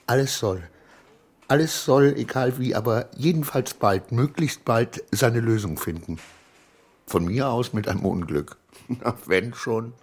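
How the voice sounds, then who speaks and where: An actor playing an anxious, self-pitying clerk who mutters agitatedly to himself in a radio play, swinging between dread and defiance. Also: male voice, medium pitch, unhurried, slightly rough. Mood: anxious, resigned. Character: anxious, self-pitying male clerk, brooding over his double.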